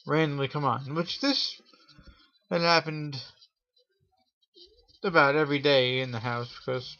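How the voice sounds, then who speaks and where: A man hosting a Halloween story show, telling a spooky tale in a theatrical showman's manner with drawn-out pauses. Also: a little high, steady tone, sharp tone. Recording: dull lo-fi audio with clear noise.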